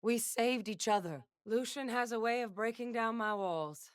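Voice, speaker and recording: A woman's voice: on the high side, moderate pace, clear, resonant tone, no room sound, close to an everyday voice. A woman playing a battle-hardened, wry and defiant young gunslinger in a video game, speaking in a dry, clipped, commanding way. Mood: solemn. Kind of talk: video game character voice lines.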